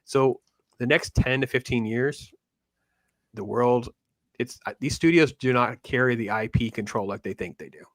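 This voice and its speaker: A middle-aged man hosts a casual fan livestream. His voice sits at an ordinary male pitch, and he rambles in short, clipped, conversational sentences. The sound is dry, with no room around it. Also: normal speed, dry recording. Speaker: host of an online Star Wars fan show. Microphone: online call on a livestream, camera off.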